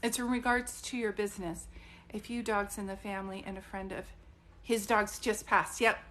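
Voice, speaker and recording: A woman's voice: mid-range voice, flat delivery, moderate pace, a bit bright. A middle-aged woman working as a psychic medium, chatting casually on a live stream, thinking aloud and often stopping to restart a word.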